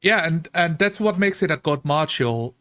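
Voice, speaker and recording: A man's voice: fairly high pitch, animated, normal speed, a bit bright. A man chatting casually as a tabletop roleplay player, slipping into short acted-out quotes as he makes his points. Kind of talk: casual animated group discussion.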